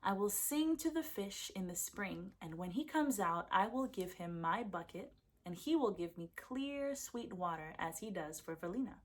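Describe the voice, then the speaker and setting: A woman's voice: mid-range voice, animated, normal speed, lightly acted. A woman voicing a confident, eager little village boy in a children's folktale.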